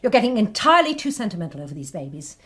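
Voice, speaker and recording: An actress playing a young Sister Superior who talks in a firm, clipped and commanding way, and who also narrates. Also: female voice, medium pitch, big pitch swings, very fast, fairly bright tone, husky. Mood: stern.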